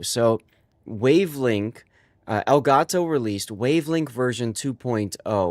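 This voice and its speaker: A man's voice: lowish voice, no room sound, clean studio sound. Congested male streamer, rambling chat. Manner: rambling, many fillers and restarts. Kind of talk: casual live-stream chat.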